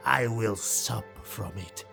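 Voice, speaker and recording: A man voicing a proud, hate-filled dark-elf nobleman, declaiming defiantly and theatrically in a fantasy audiobook. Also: lowish voice, takes it slowly, gravelly, faint music behind, heavily acted. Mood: hateful vow.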